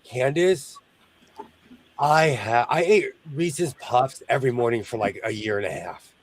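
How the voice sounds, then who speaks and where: A man's voice: mid-range voice, a little slow, a little hiss or hum. A man hosting a sewing show, chatting casually and jokily while he works, often trailing off mid-sentence.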